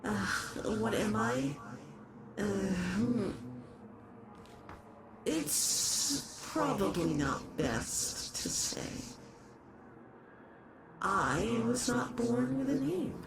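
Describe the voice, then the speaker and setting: Someone doing a possessive shapeshifter horror in a roleplay, super slow creepy sweet talk, noisy background. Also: medium pitch, expressive. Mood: musing. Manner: halting, doting, softly menacing endearments. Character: possessive lovesick shapeshifting eldritch horror, sinister and coaxing. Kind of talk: dramatic roleplay monologue addressed to the listener.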